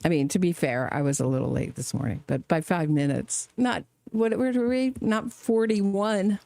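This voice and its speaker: Woman host, gravelly edge, dry close sound, sardonic running commentary on a courtroom feed. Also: lowish voice, steady tone, moderate pace, warm tone, no room sound. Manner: chatty, sardonic, laughs often. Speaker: true crime commentator. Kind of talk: casual live trial commentary.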